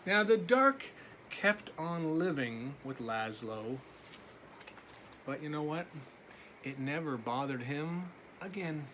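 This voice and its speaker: A man reads a picture book to young children in an animated, slow delivery with dramatic pauses. His voice swings widely in pitch, and faint noise runs behind it. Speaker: children's story reader, teacher. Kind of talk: expressive read-aloud for young children.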